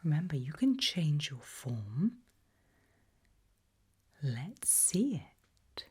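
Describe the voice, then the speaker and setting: Breathy whispering British woman, slow and low. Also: sing-song, dark tone. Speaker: ASMR roleplay host. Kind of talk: ASMR roleplay, whispered and soft-spoken.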